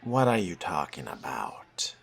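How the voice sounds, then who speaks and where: A man voicing a guarded fledgling vampire in a video game role-play, with dry, deliberate, sardonic delivery. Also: a bass voice, big pitch swings, vocal fry, slight background noise, full character voice. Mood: guarded, defiant.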